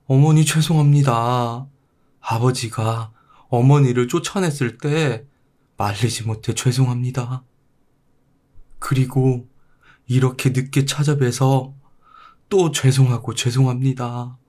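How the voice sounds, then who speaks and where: A man's voice: mid-range voice, steady tone, slow pace, long pauses, darkish tone, voice pushed up, lightly acted. A man playing a dutiful son in a folktale, speaking politely with earnest pleading. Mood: remorseful.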